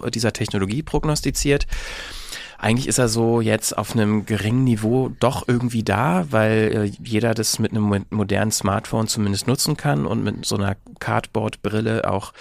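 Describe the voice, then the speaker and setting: A man's voice: lowish voice, vocal fry, no echo. A man hosting a podcast, explaining in a conversational way and rephrasing as he goes. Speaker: podcast host.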